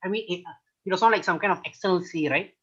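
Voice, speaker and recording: A man's voice: high-pitched, fast, a bit bright, husky. A young man from Malaysia, an audience member on a video call, asking questions politely and eagerly. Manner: polite, eager, repeats affirmations. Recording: muffled video call audio.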